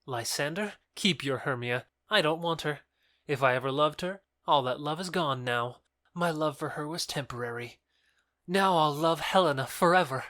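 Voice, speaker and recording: A man's voice: fairly high pitch, normal speed, fairly bright tone, no room sound, studio quality, natural voice. A man playing an ardent, proud young lover in a modern-English Shakespeare audio drama, effusive and declamatory. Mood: proud, dismissive.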